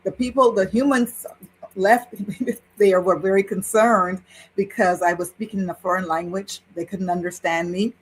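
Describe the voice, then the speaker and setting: A woman in her sixties, a priestess and interview guest, recounting her spiritual journey in an animated way with frequent laughter. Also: mid-range voice, normal speed, husky, low-quality recording. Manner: animated, laughs while telling a story. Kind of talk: personal storytelling in conversation.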